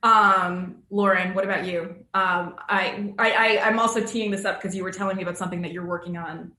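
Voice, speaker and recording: A woman's voice: lowish voice, fairly even intonation, brisk, bright, forceful tone. A woman moderating a panel in a conversational, thoughtful and discursive way, recorded over a video call. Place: indoor room on a video call. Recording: roomy video call sound.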